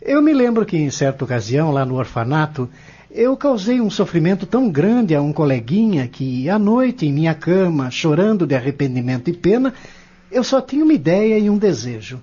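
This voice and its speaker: A man's voice: on the high side, sing-song, moderate pace, warm tone, muffled recording. A man with a Brazilian accent playing an earnest, devout and respectful young learner in an audio drama. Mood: heartfelt.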